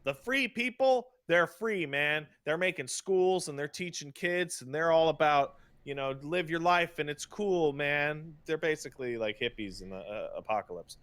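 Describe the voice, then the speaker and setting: Male podcast host, fairly high voice. Emphatic, sweary, conversational rant with repeated words for stress. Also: lively intonation, a bit bright. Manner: emphatic, profane, halting with repetitions and mocking paraphrases. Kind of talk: casual ranting commentary about video games.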